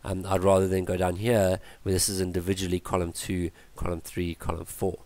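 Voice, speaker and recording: A man's voice: sits low, little pitch movement, a little fast, darkish tone. A man teaching a coding tutorial over a screencast, thinking aloud with fillers and self-corrections. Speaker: tutorial instructor.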